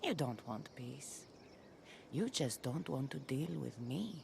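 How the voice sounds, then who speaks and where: An actress playing a bitter young Greek warrior woman in a dramatic video game, speaking with menace and gravel in her voice. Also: very deep voice, very animated, darkish tone, slight background noise, exaggerated voice. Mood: mocking. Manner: menacing, deliberate.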